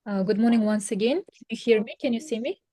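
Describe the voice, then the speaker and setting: A woman from Kazakhstan, a seminar panelist on a video call, answering a question earnestly and pausing often to find her words. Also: fairly high pitch, mellow. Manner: earnest, searching for words with many fillers.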